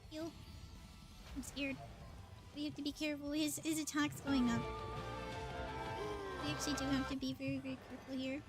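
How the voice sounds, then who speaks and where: A woman's voice: high voice, flat delivery, moderate pace, pauses often, low-quality recording. A woman livestreamer giving casual gameplay commentary, thinking aloud in short bursts as she plays. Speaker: livestreamer playing a video game. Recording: music behind the voice. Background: music.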